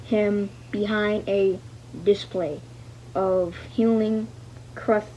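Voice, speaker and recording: Halting, slow female reader, flat intonation. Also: medium pitch. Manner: hesitant, stumbling over words, repeating fragments; speaks punctuation aloud. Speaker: learner reading a book aloud. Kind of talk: halting read-aloud of a novel. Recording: dull lo-fi sound with faint noise.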